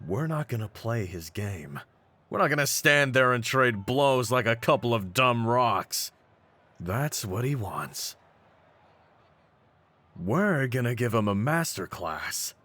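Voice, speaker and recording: A man plays a brawny pit-fighting champion with a deep voice and total self-belief. He talks in streetwise slang and swaggers through his lines, scornful of rivals and chummy with his partner, in the manner of a fantasy roleplay audio. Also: a bit bright. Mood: scornful, fired up. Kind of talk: scripted in-character roleplay audio.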